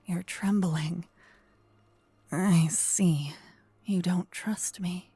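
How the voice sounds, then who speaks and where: Woman acting a slow, breathy, possessive vampire protector. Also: lowish voice, steady tone, no echo, exaggerated voice. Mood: tender, menacing. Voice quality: soft, breathy, often whispering. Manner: slow, intimate, earnest, dramatic pauses. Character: gentle possessive female vampire kidnapper, self-proclaimed protector. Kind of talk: breathy close-up ASMR roleplay acting. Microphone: close binaural microphone, ear to ear.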